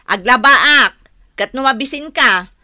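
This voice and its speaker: A woman's voice: high voice, expressive, a little slow, sharp tone, no echo, clean studio sound, full character voice. A woman playing an anxious, scolding village mother and wife in an Ilocano radio drama.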